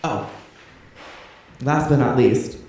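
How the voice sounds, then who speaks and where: A man's voice: medium pitch, slow pace, creaky. A man in his twenties giving an informal, chatty and effusive thank-you speech to an audience from a stage. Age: 20s. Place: theatre stage, in front of an audience. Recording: echoey theatre from far off, audience noise.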